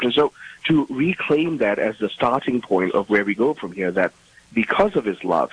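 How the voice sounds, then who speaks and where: Man from Malaysia, husky voice, earnest, talks in restarts, radio guest. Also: mid-range voice, poor audio. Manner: earnest explaining, frequent restarts. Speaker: author and radio host as guest. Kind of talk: radio interview.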